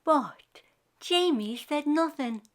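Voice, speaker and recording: A woman narrating a children's storybook aloud as a storyteller, in an expressive scripted read-aloud style. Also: high voice, takes it slowly.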